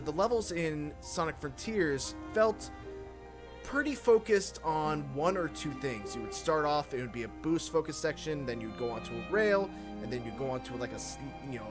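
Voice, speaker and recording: Higher-voiced man giving relaxed game impressions. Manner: relaxed, conversational, with frequent uh fillers. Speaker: games journalist. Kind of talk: casual gameplay impressions feature.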